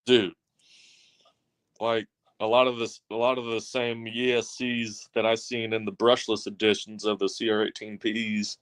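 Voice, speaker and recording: A man's voice: on the low side, flat delivery, unhurried, smooth, no echo. A man in casual chat, talking with enthusiasm about his hobby.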